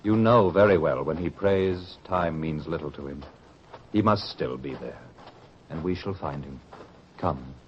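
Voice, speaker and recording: An actor playing an eager, insistent disciple in a biblical radio drama, with emphatic theatrical delivery. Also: male voice, rumbling low, measured pace, very noisy. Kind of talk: old-time religious radio drama acting. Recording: dull old radio recording.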